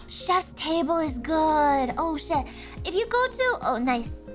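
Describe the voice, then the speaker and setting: A woman streamer chatting casually and thinking aloud, excitable, with frequent gasps and laughs. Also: sits high, takes it slowly, faint music behind. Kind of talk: casual livestream chat.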